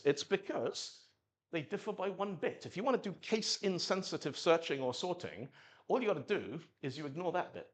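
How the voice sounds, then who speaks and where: A man from Zimbabwe giving a live technical talk at a meetup, telling stories and acting out quotes in little voices. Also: a little high. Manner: animated storytelling, acts out quotes in voices. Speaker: conference speaker.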